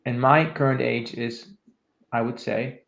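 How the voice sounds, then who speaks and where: Fifty-something guy, gravelly, calm reflective podcast talk, roomy dull sound. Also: mid-range voice, unhurried. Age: 50s. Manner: calm, guiding listeners through exercises. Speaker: podcast co-host, leadership coach. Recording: echoey room, dull lo-fi sound.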